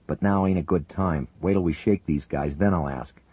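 A man voicing a tough young male helicopter gunner who shouts in clipped military talk. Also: deep voice, moderate pace, breathy edge, no room sound, phone-quality sound. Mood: tense.

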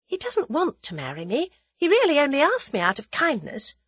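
A woman's voice: sits high, huge pitch swings, moderate pace, a bit bright, dry recording, voice pushed up, heavily acted. A woman voicing a spirited, teasing young woman in love in an audiobook, playful and eager. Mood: demure teasing. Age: young adult. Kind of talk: audiobook character dialogue.

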